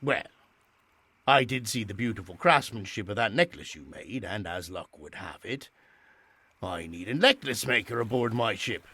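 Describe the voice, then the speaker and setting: An actor playing a playful, fatherly ship captain in a Regency audio drama, with expressive, old-fashioned phrasing. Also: fairly low pitch, normal speed, breathy edge, heavily acted. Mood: playful, encouraging.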